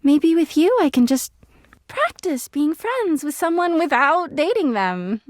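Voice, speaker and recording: Video game role: lovesick female object come to life, needy, passive-aggressive, high-pitched with very animated swings. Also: measured pace, dry recording, clean studio sound. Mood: flirty, eager. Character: clingy, volatile, lovesick female living laundry hamper. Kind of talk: video game character acting.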